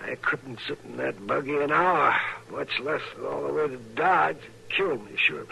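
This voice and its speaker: An actor playing a wounded, feverish frontier doctor, wry and resigned, speaking weakly and haltingly with an American accent in an old-time radio western. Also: male voice, a little high, gravelly, pushed and bright, full character voice. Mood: pained, urgent. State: weak, in pain, halting. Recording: noisy vintage radio drama recording.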